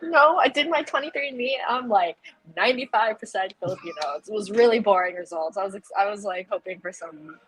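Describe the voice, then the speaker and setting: Female podcast guest, medium pitch, casual chat, enthusiastic, informal, frequent "like". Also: a little fast, hardly any pauses, fairly bright tone, breathy edge, rough recording. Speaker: podcast guest, political campaign volunteer leader.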